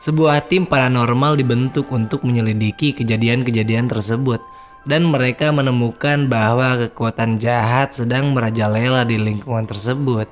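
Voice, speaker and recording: A man's voice: mid-range voice, fairly even intonation, moderate pace, faint music behind. A man, hosting a casual, slangy horror film recap for his viewers. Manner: slangy, chatty, addresses viewers as guys. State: hoarse. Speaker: film review host.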